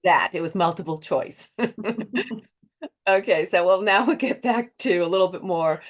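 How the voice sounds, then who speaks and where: A woman's voice: fairly low pitch, moderate pace. Chatty woman with a Boston accent. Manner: chatty, laughs often, restarts mid-sentence. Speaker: interviewer. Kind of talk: casual interview with a quiz.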